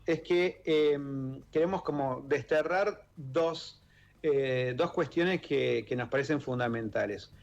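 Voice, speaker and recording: A man's voice: mid-range voice, takes it slowly, vocal fry, lo-fi sound. A man with a Rioplatense Argentine accent, a radio interview guest speaking thoughtfully, with many fillers and restarts. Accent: Rioplatense Spanish. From born in Italy, raised in Argentina. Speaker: guest, author and member of an exile-children group. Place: radio studio.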